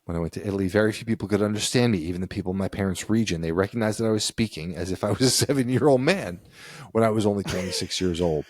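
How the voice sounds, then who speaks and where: Low-voiced man co-hosting a chat. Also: racing, few pauses, a little mellow, no echo. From United States. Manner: reads passages aloud, then riffs conversationally. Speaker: podcast co-host. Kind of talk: casual chat.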